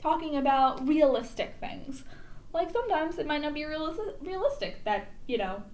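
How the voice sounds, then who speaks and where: Female storytime presenter: notably high register, animated melodic range, casual explanatory asides between read-aloud passages. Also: normal speed.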